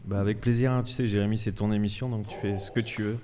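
A man's voice: lowish voice, steady tone, fast talker, in a large hall, crowd noise. A man hosting a live radio interview at a cultural centre, asking questions hesitantly with many fillers and restarts.

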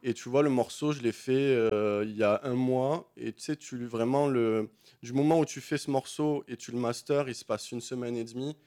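A man of middle pitch talks in a loose, slangy way, full of fillers and restarts. He is a beatmaker guest chatting casually on a late-night radio show. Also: moderate pace. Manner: relaxed slangy talk with many fillers.

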